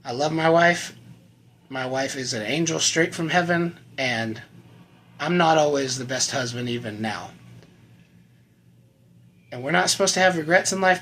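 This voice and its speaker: A man in his thirties giving a personal testimony to camera, earnest and conversational, often trailing off quietly at the ends of lines. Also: medium pitch, a little hiss or hum. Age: 30s. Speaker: channel host sharing his recovery story.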